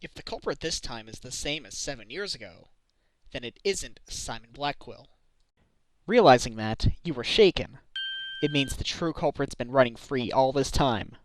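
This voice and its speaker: A man playing an earnest, determined young defense lawyer in a video game fandub, probing with deliberate, dramatic delivery. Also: mid-range voice, expressive, close to an everyday voice, poor audio. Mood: deducing. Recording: amateur recording with music.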